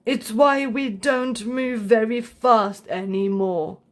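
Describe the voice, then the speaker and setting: Elderly male giraffe for a storybook, slow-spoken, patient and proud female narrator performing. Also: a little high, fairly even intonation, slight background noise. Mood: weary, kindly.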